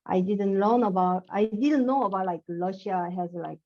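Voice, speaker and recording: A young woman from South Korea with a Korean accent, speaking English haltingly as a guest author in a casual interview. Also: medium pitch. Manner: halting, searching for words, laughs often. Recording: dull lo-fi sound.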